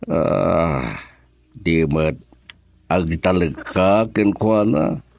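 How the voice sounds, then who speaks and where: A calm older father in an Ilocano radio drama. His voice is low and gravelly with lively intonation, reassuring, with a Filipino accent. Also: extremely slow, no room sound, exaggerated voice.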